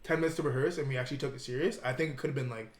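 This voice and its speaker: A man's voice: medium pitch, steady tone, rapid-fire. A young man in casual podcast chat, slangy and joking, recorded in an echoey room with music behind. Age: young adult. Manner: slangy, joking, repeats himself.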